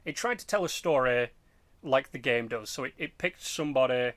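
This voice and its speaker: Male podcast host, mid-pitched, dry recording, rambling halting casual chat with swearing. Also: fairly bright tone, no room sound. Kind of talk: casual chat about game movies.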